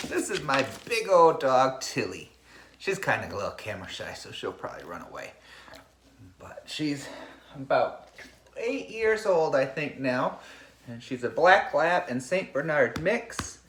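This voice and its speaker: A man with a fairly high, bright voice talks to children as their teacher during a picture-book read-aloud. His intonation swings widely in an animated storybook style. It is a home recording with noticeable noise and clicks.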